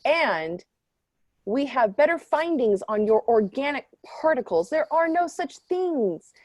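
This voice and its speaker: A woman playing a smug, defensive professor in an improvised mock debate, lecturing haltingly and with emphasis. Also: high-pitched, sing-song, a little mellow, no room sound, exaggerated voice. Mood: dismissive.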